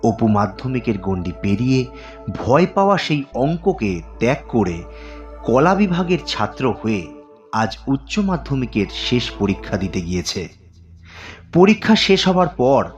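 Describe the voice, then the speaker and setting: A man tells a scripted story in a lively, mid-pitched voice. The recording is slightly dull, with soft music underneath. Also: measured pace. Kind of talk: scripted dramatic story narration.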